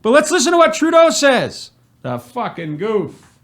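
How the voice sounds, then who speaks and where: A man's voice: very high-pitched, huge pitch swings, measured pace, a bit bright. A man giving a crude, mocking, exasperated reaction commentary in a casual, profane style. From Canada. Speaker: commentator reacting to a press conference.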